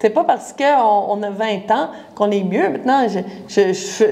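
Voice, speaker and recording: Woman, 40s, Quebec French accent, naturopath, chatty and direct with the viewer, mid-pitched voice. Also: animated, measured pace, mellow. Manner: chatty, addresses the viewer directly, explains with everyday comparisons. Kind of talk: casual live talk to viewers.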